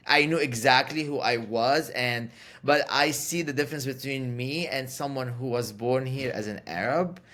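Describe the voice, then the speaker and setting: A man's voice: medium pitch, fairly bright tone. A middle-aged man from Lebanon, an interview guest who speaks candidly and repeats phrases for emphasis.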